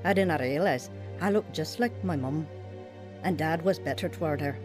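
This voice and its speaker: A woman's voice: sits low, normal speed, over music, lightly acted. A woman playing a vulnerable, earnest young wife and mother in a radio drama. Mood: somber, reflective. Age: young adult. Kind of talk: radio drama acting and first-person narration.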